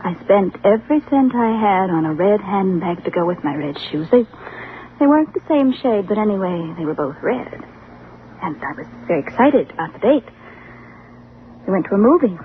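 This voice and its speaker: A woman's voice: medium pitch, sing-song, moderate pace, close to an everyday voice. An actress playing a bitter, lonely young woman, guarded and plainspoken, in a vintage radio drama. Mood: wounded, wistful. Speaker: actor in dramatic role. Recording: tinny, noisy vintage radio recording.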